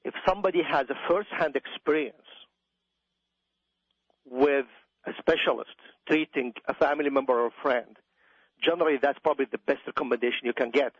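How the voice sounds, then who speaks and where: A man's voice: medium pitch, a little fast, pauses often, breathy edge, no room sound. A middle-aged man with an Iraqi accent speaking as an expert guest in a conversational radio interview, emphatic and given to repeating phrases. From Iraq. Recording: dull, dry radio audio.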